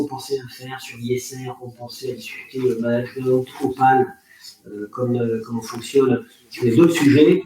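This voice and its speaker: A man's voice: medium pitch, a little mellow. A man hosting a live panel discussion, chatty and informal, with side stories. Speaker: host, moderator. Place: office. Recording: very echoey room, distant mic.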